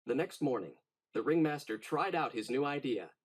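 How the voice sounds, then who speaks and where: A man narrating a children's storybook in an expressive, dramatic read-aloud style. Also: mid-range voice, moderate pace, breathy edge.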